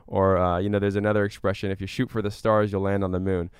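A man speaking as an interview guest, explaining step by step in a measured, conversational way with careful qualifications. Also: low-pitched, little pitch movement, clear, resonant tone, no room sound, clean studio sound. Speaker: interview guest, climate advocate.

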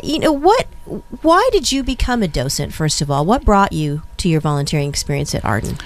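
A woman's voice: low-pitched, sing-song, brisk. A woman hosting an interview, talking in a chatty, enthusiastic way full of "you know" fillers. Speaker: interview host. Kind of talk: conversational interview.

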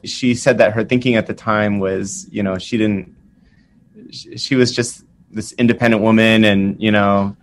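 A man in his forties, born in Vietnam, speaking as a physician guest and telling his family story in a relaxed, conversational way. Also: lowish voice, moderate pace, slight background noise. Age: 40s. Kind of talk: conversational interview.

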